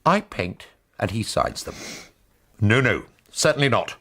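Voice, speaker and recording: A naive male painter in a radio play, hesitant and prone to stammer. His pitch swings widely and the voice is slightly rough-edged. He sounds conscience-stricken and easily thrown. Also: huge pitch swings, unhurried, bright, forceful tone, full character voice. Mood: indignant, alarmed. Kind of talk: radio drama acting and first-person narration.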